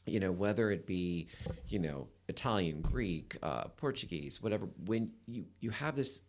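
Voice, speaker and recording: A man's voice: deep voice, animated, moderate pace, slight background noise. A man in his fifties, a real estate attorney, telling his story in a rambling, casual way with lots of fillers. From United States. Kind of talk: casual interview chat.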